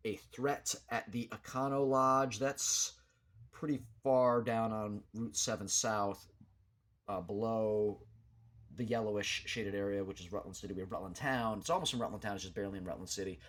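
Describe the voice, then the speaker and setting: A man's voice: on the low side, fairly even intonation, moderate pace, a bit bright. A man giving an unscripted, rambling local news commentary in a hesitant, matter-of-fact way, like a small-time vlogger talking through events.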